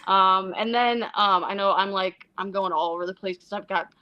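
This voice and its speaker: A woman from Iceland talking casually as an interview guest about her film projects, rambling and animated, acting out quotes as she goes. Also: mid-range voice, normal speed, muffled recording. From born in Iceland, Icelandic mother and American father. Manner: animated, rambling storyteller who acts out quotes. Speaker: interview guest, independent filmmaker and actress.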